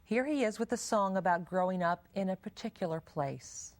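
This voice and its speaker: A woman's voice: medium pitch, lively intonation, moderate pace. A woman with an American accent hosting an arts television show, presenting scripted introductions and interviewing.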